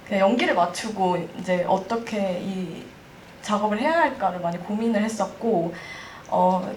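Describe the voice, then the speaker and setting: A woman's voice: mid-range voice, darkish tone. Woman, panel guest, polite, chatty, roomy reverb, distant mic, faint noise. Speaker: actress on a panel. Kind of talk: casual panel talk. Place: cinema hall.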